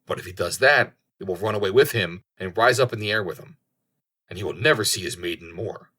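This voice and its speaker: A man's voice: lowish voice, brisk, pushed and bright, husky. A man voicing a knowing raven in a storybook tale, speaking in a dramatic, ominous and declaiming manner. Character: knowing raven foretelling doom to a companion.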